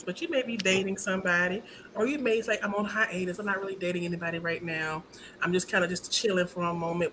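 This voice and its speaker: A bright-voiced woman hosts an online panel with an upbeat, chatty manner. She talks straight to her viewers over a video call mic, with a faint hiss behind her and mid-range pitch. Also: poor audio. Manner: upbeat, chatty, speaks straight to the women watching. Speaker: host of online panel show. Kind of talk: lively show hosting, addressing viewers.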